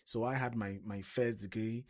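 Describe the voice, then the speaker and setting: An earnest young man from Ghana with a Ghanaian accent and a lowish voice. He talks warmly and at length as a new radio co-host, repeating words and filling pauses with "I mean" as he gathers his thoughts. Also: fairly even intonation. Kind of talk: casual radio interview.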